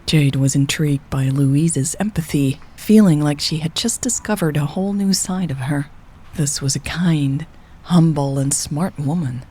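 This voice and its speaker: A woman's voice: sits low, unhurried, mellow, slightly rough. A woman reading a scripted story as a narrator, voicing the dialogue as she goes. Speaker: audio fiction narrator.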